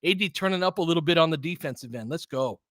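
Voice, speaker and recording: A man's voice: on the high side, expressive, fast talker, fairly bright tone, no echo, clean studio sound. A man doing live basketball play-by-play as a partisan fan, in short clipped phrases with casual, slangy exclamations and bits of analysis. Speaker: fan play-by-play commentator and host.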